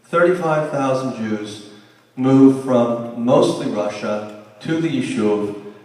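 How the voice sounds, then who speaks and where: A man's voice: mid-range voice, expressive, slow, a little mellow. A middle-aged man giving a conversational history lecture to a class, with restarts and asides. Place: lecture room. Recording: roomy hall sound, mic at a distance.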